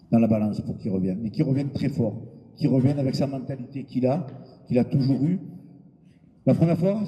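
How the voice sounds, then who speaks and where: A man promoting a boxing event at a press conference. He talks bluntly and informally, with many hesitant filler pauses. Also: mid-range voice, warm tone. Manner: blunt and informal, with crude words. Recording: long hall reverb with background noise.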